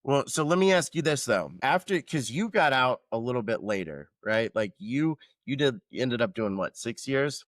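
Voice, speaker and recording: A man's voice: medium pitch, lively intonation, moderate pace, hardly any pauses, no room sound. A man in his thirties from Georgia who hosts a podcast and talks in a loose, rambling way with casual swearing. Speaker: podcast host, veteran. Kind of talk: casual chat.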